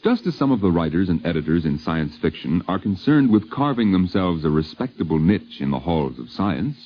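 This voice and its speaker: A man's voice: sits low, very animated, brisk. An American man narrating a scripted 1950s radio documentary in a formal, wry, literary manner. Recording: dull vintage radio recording.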